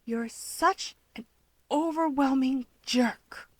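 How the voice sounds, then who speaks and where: A woman's voice: high-pitched, slow, no echo, voice pushed up. Woman as a surly, sarcastic young man. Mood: scornful. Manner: curt, scornful.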